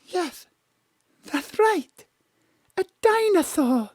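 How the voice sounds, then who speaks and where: A woman's voice: very high-pitched, expressive, very slow, darkish tone, no echo, studio quality, heavily acted. An actress voicing an emotional young woman who longs for her father's love, speaking through tears in a pleading, confessional way. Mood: wistful. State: tearful. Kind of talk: audio drama acting.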